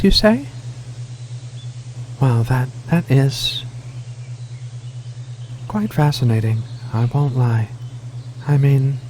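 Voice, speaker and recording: Slow, soft-spoken alien cat woman acted by a man. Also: mid-range voice, a little hiss or hum, close to an everyday voice. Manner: hesitant, soothing, intimate, drawn-out phrasing. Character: gentle, curious, flirty alien catlike researcher, female. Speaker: ASMR roleplay performer. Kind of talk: soft-spoken ASMR roleplay.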